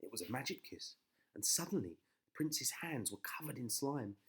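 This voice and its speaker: Male storyteller for children's bedtime tales, very expressive, bright delivery with a slightly rough edge. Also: medium pitch, moderate pace. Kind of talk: animated bedtime story narration.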